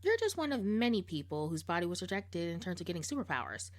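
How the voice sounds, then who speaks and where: Cartoon know-it-all girl, blunt, lowish voice, rapid explanations woman performs. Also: very animated. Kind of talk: cartoon voice acting.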